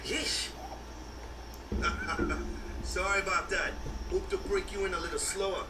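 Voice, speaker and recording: An easygoing young fantasy game warrior, pitched high and speaking in loose, slangy phrases. The game audio sounds roomy and distant, with clear noise over it. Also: male voice, fairly even intonation, takes it slowly. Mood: casual, friendly. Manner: informal, clipped slangy speech. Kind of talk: video game voice acting.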